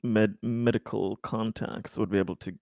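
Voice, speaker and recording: A man's voice: lowish voice, steady tone, moderate pace, a little mellow, dry recording, studio quality. A man chatting casually as a player in a tabletop game.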